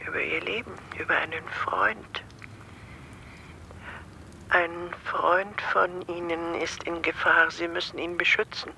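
A performer playing a cryptic, foreboding woman caller like a seer, deliberate and ominous, in a radio drama. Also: medium pitch, little pitch movement, gravelly, bright, forceful tone, slight background noise, exaggerated voice, low-quality recording. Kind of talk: naturalistic German radio drama acting.

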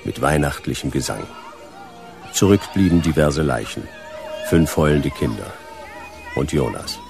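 Very deep, gravelly hard-boiled detective. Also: male voice, a little slow, over music, full character voice. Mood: deadpan narration. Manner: deadpan, terse. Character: laconic hard-boiled male private detective, dry and world-weary. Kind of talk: noir narration and dialogue in German sci-fi radio play.

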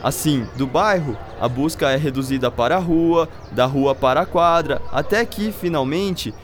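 Science teacher for radio drama, male actor: slightly high voice, patient, didactic, step-by-step. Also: moderate pace, natural voice. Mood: patient, explanatory. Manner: didactic, explains step by step with questions. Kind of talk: scripted educational radio drama acting.

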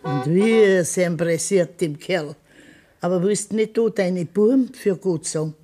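A woman's voice: lowish voice, a little slow, warm tone. A worried Bavarian grandmother. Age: older. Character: old grandmother, worried and protective. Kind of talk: 1970s radio play acting.

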